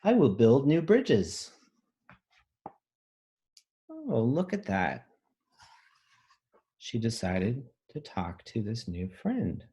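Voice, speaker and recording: A man reads a children's picture book in a slow, deliberate way. He puts expression into the lines, then steps out of the text to explain things simply, as a teacher does with young pupils. His voice sits at an ordinary male pitch. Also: very animated, mellow, breathy edge. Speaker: elementary school teacher reading aloud.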